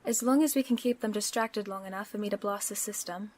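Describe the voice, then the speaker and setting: A woman's voice: medium pitch, quick pace, a little mellow, lightly acted. A woman playing a sly, confident supervillain's accomplice in an audio roleplay, wry and teasing in manner. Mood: confident, measured.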